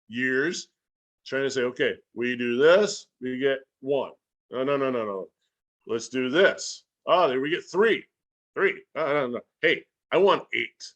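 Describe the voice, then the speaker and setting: A man giving a casual, explanatory talk as a guest educator, informal and folksy, often acting out imagined bits of dialogue. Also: medium pitch. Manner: animated, acts out imagined dialogue. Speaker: guest speaker and science educator.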